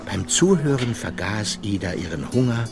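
A man's voice: fairly low pitch, animated, normal speed, creaky, over music. A man narrating a children's radio play as a scripted story.

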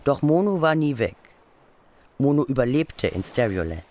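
A man's voice: mid-range voice, sing-song, moderate pace, darkish tone. A man reading scripted documentary narration for a radio feature.

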